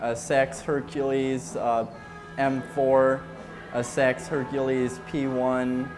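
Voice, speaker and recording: A man in his twenties speaks at an unhurried pace with a medium pitch. It is casual interview talk with music clearly playing behind. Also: level tone. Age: 20s.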